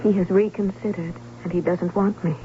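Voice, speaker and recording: An actress playing an anxious, wistful young bride-to-be, speaking in a measured, melodramatic way in an old-time radio drama. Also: female voice, on the low side, steady tone, warm tone, slight background noise, lightly acted. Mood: despairing. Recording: thin phone-like old radio recording.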